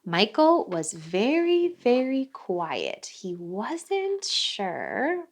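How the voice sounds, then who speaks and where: A woman reading a picture book aloud for children in an expressive way, pausing to put questions to young listeners. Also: on the high side. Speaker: children's read-along host. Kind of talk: animated picture-book storytelling.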